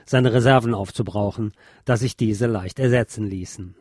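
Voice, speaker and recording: A man's voice: on the low side, moderate pace, darkish tone, dry recording, studio quality, faint music behind. A man reading a history book aloud as scripted narration for a podcast.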